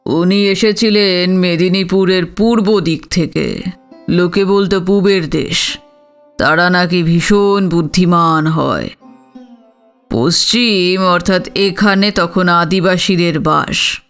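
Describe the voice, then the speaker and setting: Guy acting older village father-in-law, slow and dignified, clear smooth voice, audio story with music under it. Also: high-pitched, a bit bright, heavily acted. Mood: calm, candid. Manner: measured, deliberate, explanatory.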